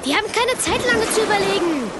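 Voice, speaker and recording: An excitable gnome sailor. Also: female voice, very high voice, fairly quick, very noisy. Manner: exclaims holy moly.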